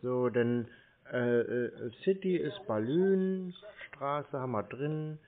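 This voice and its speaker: A man's voice: medium pitch, lively intonation, takes it slowly. A man playing a bumbling, naive caller who mutters through each step and mangles English words on a prank phone call. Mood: muttering, befuddled. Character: bumbling, naive man struggling with online payment. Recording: tinny narrowband phone line.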